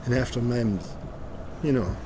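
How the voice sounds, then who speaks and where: An actor playing a proud, emotional middle-aged Irish father in a radio drama, earnest, tender and halting, with car noise around him. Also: mid-range voice, natural voice. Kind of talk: naturalistic radio drama dialogue. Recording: loud car interior noise.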